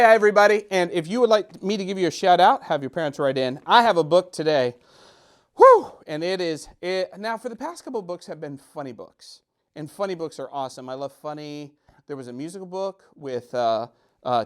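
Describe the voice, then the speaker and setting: A man's voice: high-pitched, clean tone. A middle-aged man hosting a children's storytime in a casual, playful and self-deprecating way, chatting and joking straight to young listeners. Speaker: storytime host.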